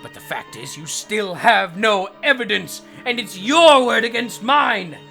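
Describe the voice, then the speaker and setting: Pompous male psychiatrist, played by a man, middle-aged, forceful and gravelly, grand diction, comedy fandub with music behind. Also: sits high, animated, unhurried, full character voice. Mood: smug. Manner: haughty, theatrical, ornate diction. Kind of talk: comic fandub acting.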